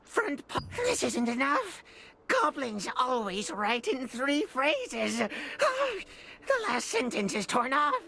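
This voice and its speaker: Squeaky, scratchy excitable goblin. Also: female voice, slow pace, vocal fry, exaggerated voice. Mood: agitated.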